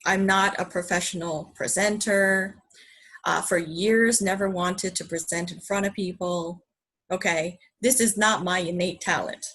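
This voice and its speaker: A woman's voice: on the low side, steady tone, a little slow, a bit bright, poor audio. A woman from Hawaii giving an earnest, enthusiastic motivational presentation, often asking her listeners rhetorical questions. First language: English. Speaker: business presenter.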